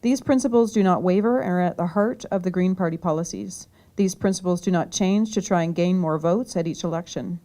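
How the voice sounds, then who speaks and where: A woman's voice: lowish voice, brisk, few pauses, mellow. A woman candidate giving an earnest, prepared campaign speech, reading from notes. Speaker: political candidate. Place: community hall.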